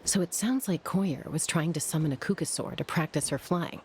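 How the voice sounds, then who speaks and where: An actress playing a calm adult warrior woman who watches over her tribe's young in a video game, composed, measured and matter-of-fact. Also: sits low, background noise, lightly acted. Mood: calm, concerned. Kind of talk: scripted video game dialogue.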